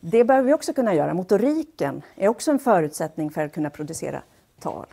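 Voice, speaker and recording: A woman giving an explanatory public lecture in a measured, pausing and somewhat hesitant way. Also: lowish voice, sing-song, gravelly, warm tone, breathy edge. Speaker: lecturer, speech-language pathologist. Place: wood-panelled lecture hall, indoors. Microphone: headset.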